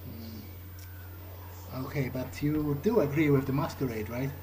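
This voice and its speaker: A man's voice: medium pitch, slow, background noise. A man talking casually and hesitantly in character as he plays through a roleplaying game. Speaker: player character in a roleplay playthrough. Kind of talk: in-character game dialogue, casual improvised speech. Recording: game music behind the voice. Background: video game music.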